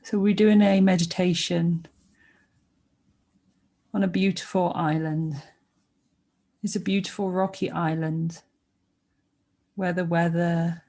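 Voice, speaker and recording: A woman guiding a sleep meditation with soothing narration, speaking gently and unhurriedly with pauses between short phrases. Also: fairly low pitch. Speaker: meditation guide.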